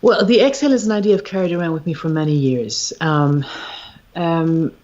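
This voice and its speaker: A woman with an Irish accent, a musician being interviewed on a podcast, speaking reflectively and searching for her words with frequent pauses and ums. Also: deep voice, a little mellow. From Ireland. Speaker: guest, musician and retreat founder. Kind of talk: podcast interview, thoughtful conversation. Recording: slightly dull, lo-fi livestream audio.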